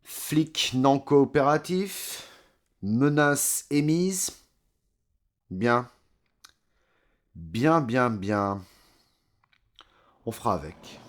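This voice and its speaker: An actor playing an older corrupt mayor and crime boss in an audio drama, measured, sardonic and condescending, with menace under false courtesy. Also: male voice, mid-range voice, lively intonation. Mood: calm, calculating.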